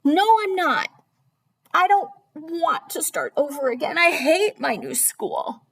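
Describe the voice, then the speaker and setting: Woman voicing a high-pitched, whiny, reluctant storybook girl. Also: lively intonation, slow pace, full character voice. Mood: stubborn, defiant. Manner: emphatic, complaining. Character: reluctant, whiny, nervous girl Sarah in a picture book.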